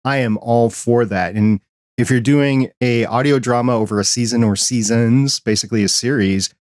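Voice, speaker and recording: A man's voice: medium pitch, steady tone, moderate pace, darkish tone, dry recording, clean studio sound. A middle-aged man hosting a podcast interview in a casual, conversational style.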